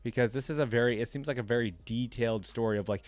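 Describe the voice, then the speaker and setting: A man with a mid-pitched voice hosts a casual reaction video. He reads aloud in a conversational way and slips in his own off-the-cuff comments. The sound is dry, with very little room echo. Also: fast.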